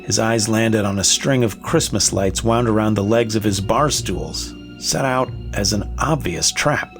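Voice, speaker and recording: A man with a lowish voice narrates a scripted horror story with a comic bent. Faint music plays beneath him. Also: measured pace.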